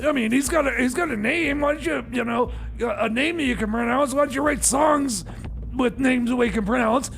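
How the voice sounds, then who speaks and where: High, creaky, stammering male radio host. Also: moderate pace, fairly bright tone, slight background noise. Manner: flustered, stammering, hesitant, grumbling. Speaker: radio music show host. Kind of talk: live ad-libbed comic music radio patter.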